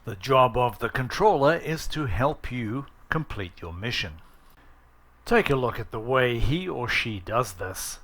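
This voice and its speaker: A man hosting a flight sim tutorial, speaking in measured explanations and formulaic pilot radio calls. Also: medium pitch. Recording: loud engine noise behind.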